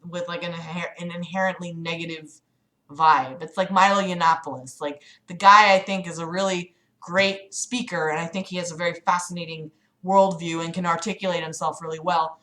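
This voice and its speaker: A woman's voice: lowish voice, steady tone, normal speed, pushed and bright. A woman chatting casually as a livestream host, rambling hesitantly in a self-deprecating way. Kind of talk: casual chat with livestream audience.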